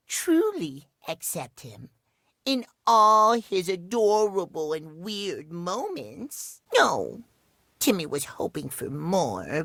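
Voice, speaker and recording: An actor voicing a cute, excitable, dramatic little video game character who gushes about himself in the third person. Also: male voice, sits high, creaky, dry recording, studio quality, full character voice. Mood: tender. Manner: refers to himself in third person, gushing, theatrical. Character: cutesy, excitable, dramatic household-object creature speaking of himself.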